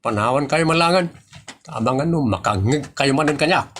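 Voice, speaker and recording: A man playing a hot-tempered, stubborn man in a comedy audio drama, with an Ilocano accent and a husky, excitable, stammering delivery. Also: mid-range voice, normal speed.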